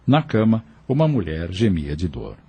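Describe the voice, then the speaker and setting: A man's voice: low voice, very animated, normal speed, mellow, husky, no echo. A man narrating an audio novel in a scripted, dramatic storytelling style, with faint ambient noise.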